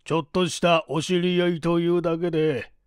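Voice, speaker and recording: A man voicing a stout, genial, wealthy old gentleman in a story reading, with courteous, deliberate, old-fashioned speech. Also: a little high, level tone, clean tone, dry recording, clean studio sound, close to an everyday voice.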